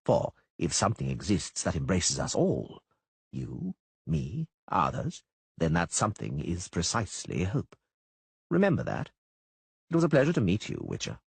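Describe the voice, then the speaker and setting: A sinister minister, quiet and dispassionate, with a low, husky voice, read by a male storyteller. He speaks slowly and evenly in a dry audiobook recording. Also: wildly swooping pitch, no room sound, exaggerated voice. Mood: solemn. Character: calm, menacing middle-aged male minister.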